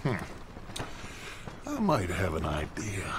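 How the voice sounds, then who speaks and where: An actor playing a calm, courteous middle-aged outlaw leader in a video game, speaking in a measured, smooth way. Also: male voice, sits low, noisy background, exaggerated voice. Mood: scheming.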